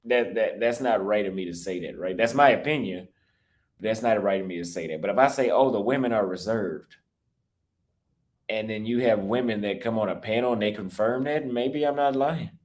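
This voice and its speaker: A young American man with a slightly low voice, talking off the cuff on a livestream about his travels. He rambles, restarts his sentences and gets defensive, and his voice sounds a little cracked and worn. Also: normal speed, darkish tone. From United States. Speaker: travel YouTuber live-streaming. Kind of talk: casual livestream talk reading super chats.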